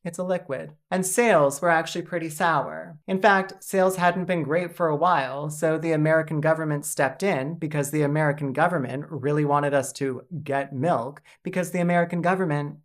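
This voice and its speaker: A woman hosting a video essay with scripted comedic narration, deadpan and sarcastic. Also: low-pitched, moderate pace, hardly any pauses.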